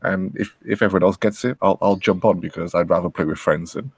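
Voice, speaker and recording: Male panelist, very low creaky voice, halting casual talk, dry sound. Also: no room sound. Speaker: podcast panelist. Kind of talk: casual chat.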